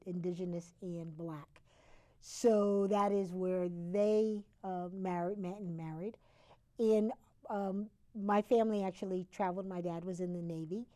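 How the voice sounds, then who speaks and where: A woman from Connecticut, a guest on a talk show, explains history conversationally. Her voice is fairly low and mellow, and she pauses often with fillers as she corrects herself. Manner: halting, with frequent fillers and self-corrections.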